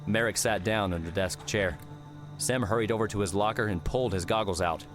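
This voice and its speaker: A man's voice: fairly low pitch, brisk, over music. A man reading scripted story narration for an audiobook.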